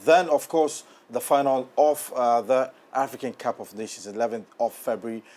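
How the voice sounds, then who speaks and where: A man's voice: mid-range voice, fairly even intonation, moderate pace, darkish tone. A man, interviewing guests as host of a sports talk show.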